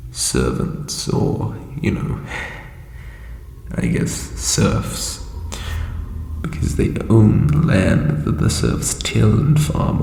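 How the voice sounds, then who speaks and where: A man voicing a hapless, soft-hearted skeleton lich king in an audio roleplay, hesitant, stammering and sheepish as he rambles. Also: lowish voice, gravelly, roomy sound, long reverb tail, a little hiss or hum, exaggerated voice. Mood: scheming, unsure. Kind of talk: character roleplay audio drama monologue.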